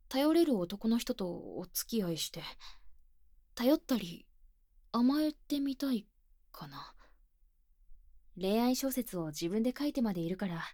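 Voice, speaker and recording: A woman voicing an earnest, bashful high-school girl with stiff, boyish phrasing in an intimate binaural ASMR voice drama, close to the microphone. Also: darkish tone, no echo. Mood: bashful, hesitant, then flustered, indignant. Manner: stiff, boyish phrasing, shy and halting, often dropping to a whisper.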